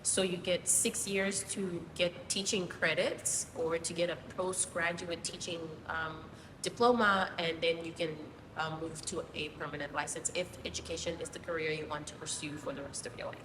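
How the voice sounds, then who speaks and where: A woman from Zimbabwe, a guest teacher, explaining things casually with many pauses and ums. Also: on the low side, steady tone. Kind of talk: casual interview answers. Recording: distant mic, roomy hall, noisy.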